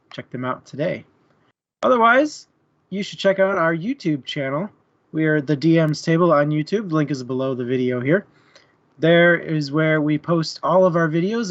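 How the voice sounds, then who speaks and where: A man's voice: a little high, muffled recording. A man co-hosting a casual book-chat livestream, talking informally to the viewers. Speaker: podcast co-host.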